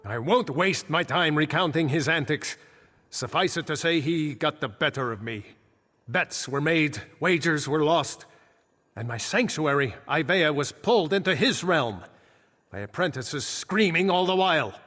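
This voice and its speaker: An actor playing a solemn ancient archmage back from death, speaking gravely and formally in fantasy video game dialogue. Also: male voice, a little high, expressive, measured pace, bright, forceful tone, exaggerated voice. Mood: grave, warning. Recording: echoing game hall with long reverb.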